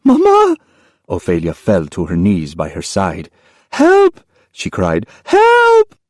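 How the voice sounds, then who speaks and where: A man voicing a frightened young girl who pleads in high cries. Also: steady tone, normal speed, darkish tone, slightly rough, no echo, clean studio sound. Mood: panicked.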